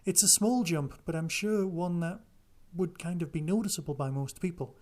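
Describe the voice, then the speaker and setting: A man's voice: a little high, normal speed, a little mellow. A man hosting a podcast solo, chatting casually through listener news and reviews. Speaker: podcast host.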